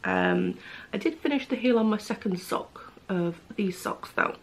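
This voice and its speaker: Chatty, slightly croaky woman vlogging at home. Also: medium pitch, measured pace, slight background noise. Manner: chatty, rambling with many ums. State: a bit croaky. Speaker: knitting vlogger. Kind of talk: casual chat to camera. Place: living room at home, indoors. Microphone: camera close in front.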